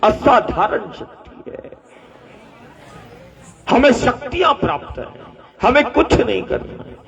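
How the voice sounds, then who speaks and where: Slow, preaching man heard from afar. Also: very high voice, very animated, creaky, fairly bright tone. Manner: rhetorical, repeating phrases, asking the crowd to repeat after him. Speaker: spiritual teacher. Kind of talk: devotional discourse to a gathering. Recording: dull distant live recording with long reverb.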